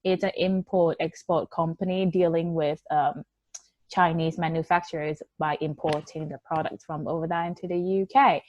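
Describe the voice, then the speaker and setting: Young woman talking about her business, low voice, dry sound, says um a lot. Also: steady tone, normal speed, a little mellow, no room sound, highs cut off. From Thailand. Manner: conversational, non-native English with fillers. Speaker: entrepreneur guest. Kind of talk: interview answers.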